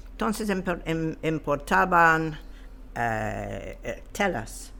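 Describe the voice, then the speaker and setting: A man giving an informal historical explanation as an interview guest, halting and searching for his words. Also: mid-range voice, vocal fry, fairly bright tone.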